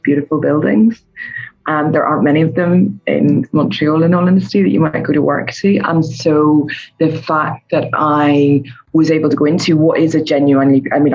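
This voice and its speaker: A woman, a poet answering interview questions in a meandering way, with many pauses and self-corrections. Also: sits low, a little mellow, husky. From Northern Ireland. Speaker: poet and poetry curator interviewee. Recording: muffled sound with faint music behind.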